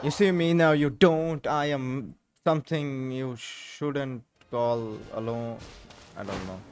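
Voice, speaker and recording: A man's voice: mid-range voice, slow pace, noisy background. A man giving casual gameplay commentary as a let's player, muttering and repeating himself in exasperation.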